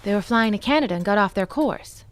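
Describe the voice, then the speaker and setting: A woman's voice: medium pitch, animated, fast, natural voice. An actress playing a detective's inquisitive, skeptical lady companion in an old-time radio drama.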